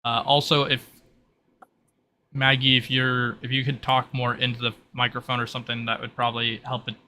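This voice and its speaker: A man's voice: medium pitch, monotone, moderate pace, bright, forceful tone. A man acting as game master, casually explaining tabletop rules over a noisy home mic.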